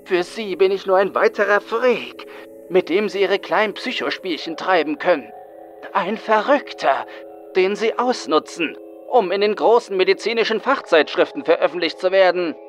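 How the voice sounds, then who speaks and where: A man acts out a young male psychiatric patient in a horror story. He is bitter, frightened and haunted, speaking with intense dramatic pauses over music. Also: high-pitched, lively intonation, a bit bright, voice pushed up. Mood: furious. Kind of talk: horror audiobook acting.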